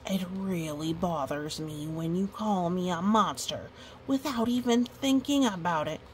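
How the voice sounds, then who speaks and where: A woman's voice: fairly low pitch, unhurried, slight background noise, lightly acted. A woman voicing an unhappy, indignant male monster from a picture book, protesting emphatically with hurt and pleading. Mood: aggrieved.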